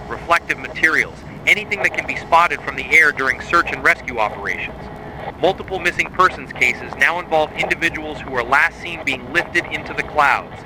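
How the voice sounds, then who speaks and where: A man reading a scripted horror narration styled as an official emergency bulletin, in a grave, measured, authoritative way. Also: medium pitch, noisy background. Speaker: narrator of a mock emergency broadcast.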